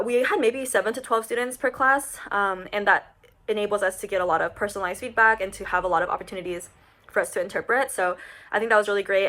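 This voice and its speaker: Fast-talking young woman. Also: mid-range voice, a bit bright. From United States. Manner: chatty, many fillers like "um" and "like". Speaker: recent graduate. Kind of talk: casual talk to camera.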